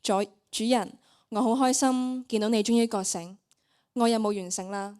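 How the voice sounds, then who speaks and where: A woman's voice: fairly high pitch, normal speed, warm tone, smooth, lightly acted. A teenage girl with a Hong Kong Cantonese accent voicing a devoted, deferential magic mirror that counsels its owner in a student radio play. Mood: earnest counsel. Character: devoted magic mirror, flattering yet wise servant. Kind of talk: student radio drama acting.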